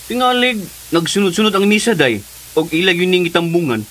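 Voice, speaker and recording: A man's voice: high-pitched, expressive, fairly quick, a bit bright. A man with a Cebuano accent playing a teasing, easygoing uncle in a radio drama. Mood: teasing, casual. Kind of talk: Filipino radio drama acting. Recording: faintly hissy radio drama recording.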